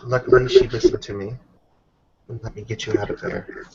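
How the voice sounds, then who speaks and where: A man's voice: fairly low pitch, fairly even intonation, normal speed, creaky, a little mellow. A man improvising narration and character lines in a roleplaying game, recorded on muffled audio. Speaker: tabletop roleplaying participant. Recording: muffled lo-fi audio, background noise.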